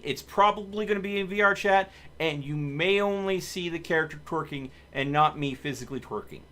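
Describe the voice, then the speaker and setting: A man's voice: a little high, sharp tone. A man hosting a live game stream, chatting casually and commenting on his play, with game music behind him. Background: video game music.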